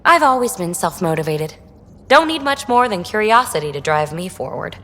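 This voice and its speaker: A woman playing a brisk, self-possessed female researcher in a video game. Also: on the low side, sing-song, bright, forceful tone, heavily acted. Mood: self-assured. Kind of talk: video game dialogue acting. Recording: long reverb.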